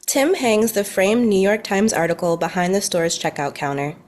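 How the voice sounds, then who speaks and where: A woman's voice: medium pitch, animated, moderate pace, darkish tone. A woman reading stage directions as the narrator of a scripted table read.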